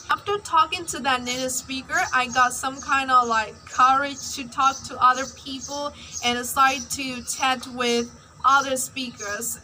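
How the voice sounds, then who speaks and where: A woman's voice: sits high, sharp tone, a little hiss or hum, rough recording. A young woman whose first language is Burmese, chatting casually in a personal vlog with lots of "like" fillers. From Myanmar. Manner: chatty, uses many fillers like "like". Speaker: language-learning vlogger.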